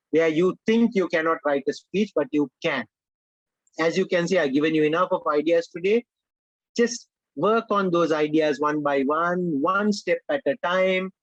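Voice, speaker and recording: A man from Sri Lanka who speaks English as a second language, leading a speech-writing workshop with animated emphasis and frequent direct address to his audience. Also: fairly high pitch, no room sound, highs cut off. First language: Sinhala or Tamil. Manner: animated, emphatic, repeats "my dear friends". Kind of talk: online workshop lecture.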